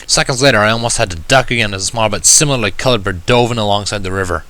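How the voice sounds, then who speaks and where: A man's voice: fairly low pitch, very fast, pushed and bright. A man reading a first-person story aloud in a wry, conversational way. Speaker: audiobook reader of fan fiction.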